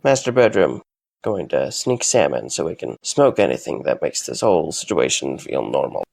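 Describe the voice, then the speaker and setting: A man playing a frustrated, sarcastic and weary young man in a fan audio drama, speaking in an exasperated, halting way. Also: rumbling low, very animated, gravelly, darkish tone, full character voice. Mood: baffled, sarcastic.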